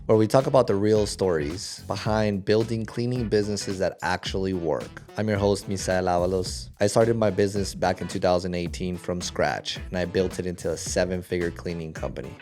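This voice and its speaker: A man's voice: sits low, darkish tone. A man hosting a podcast interview, speaking in an emphatic, advising way. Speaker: podcast host.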